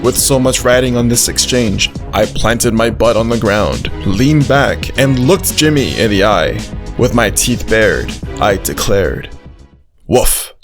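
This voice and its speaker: A performer voicing an earnest, childlike, food-loving male dog who narrates a visual novel in an animated, naive way. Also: medium pitch, takes it slowly, music in the background. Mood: determined. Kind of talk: first-person visual novel narration with character dialogue.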